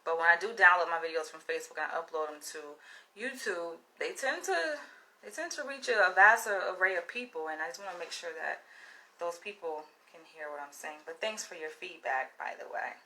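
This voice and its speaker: Very bright-voiced Southern woman vlogging. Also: on the low side, animated, moderate pace, rough recording. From Southern United States. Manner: chatty, keeps addressing viewers as "you guys". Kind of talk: casual direct-to-camera chat.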